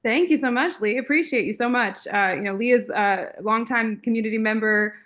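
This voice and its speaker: A woman coach takes over a live community call over video, sounding upbeat and effusive as she greets attendees by name. Her voice sits on the higher side, and she talks in a lively, grateful rush. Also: fairly quick, dull audio. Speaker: coach and co-host.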